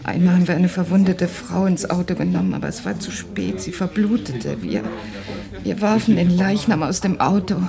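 An actress playing a German refugee woman who searches for her husband, speaking in a weary, halting way that sometimes sinks to a whisper in a radio drama. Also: on the low side, big pitch swings, vocal fry, a crowd in the background.

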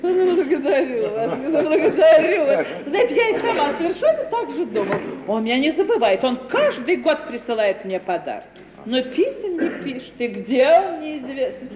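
An actress playing a sanctimonious, self-pitying middle-aged widowed landowner in an affected, theatrical radio comedy manner. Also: female voice, high-pitched, sing-song, muffled recording, crowd noise. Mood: sentimental. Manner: theatrical, affected, drawn-out self-justifying speech. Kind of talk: 1950 Russian stage-comedy radio acting. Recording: echoey hall, noisy 1950 radio recording.

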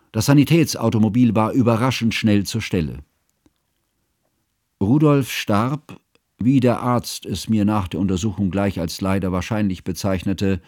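A grave, learned older man narrates a literary radio play in a deep-set, low register. His delivery is solemn, formal and measured, with quiet sorrow beneath it. The sound is dry, with no room around the voice. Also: no echo.

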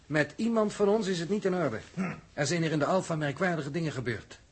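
An actor playing a suspicious, severe male crewman in a 1960s radio drama, measured and formal, with a Netherlands Dutch accent. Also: on the high side, expressive, husky. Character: Huub, suspicious stern accusing crewman.

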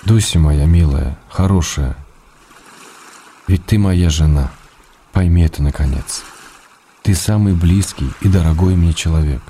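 Weary husband, very deep voice. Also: male voice, dark tone, a little hiss or hum. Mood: tender reproach. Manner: measured, reproachful yet affectionate. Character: weary, exasperated, loving writer husband answering by letter. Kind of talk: dramatic reading of a letter in a radio play.

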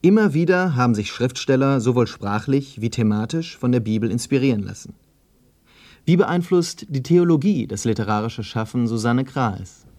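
Formal male radio presenter, mid-pitched. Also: lively intonation, a little mellow. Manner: formal, measured, factual. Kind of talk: scripted narration and questions.

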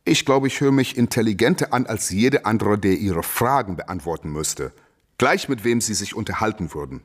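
An actor playing a proud, defiant champion boxer who boasts and throws questions back in a radio play interview. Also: male voice, fairly low pitch, animated, normal speed. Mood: boastful.

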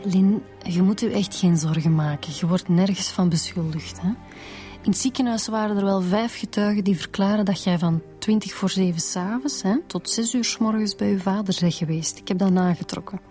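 Woman playing flemish lady detective, soft warm tone, calm n businesslike, radio drama, bit of music behind. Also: medium pitch, fairly quick, close to an everyday voice. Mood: reassuring, probing. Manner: informal, reassuring, businesslike. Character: female police detective, calm, reassuring, matter-of-fact.

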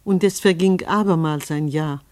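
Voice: medium pitch, moderate pace, a little mellow, faint music behind. A narrator reading scripted radio drama narration in a solemn, biblical cadence.

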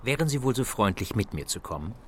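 An actor playing a coolly polite armed henchman in a radio drama, formal and quietly menacing. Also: lowish voice, big pitch swings, fast, slight background noise. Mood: polite menace.

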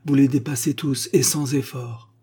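A man's voice: medium pitch, fairly even intonation, normal speed, dark tone, husky, voice pushed up. A man voicing an irritable ancient Egyptian mummy who scolds and insults in a raised voice. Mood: irritated. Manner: scornful, peevish scolding.

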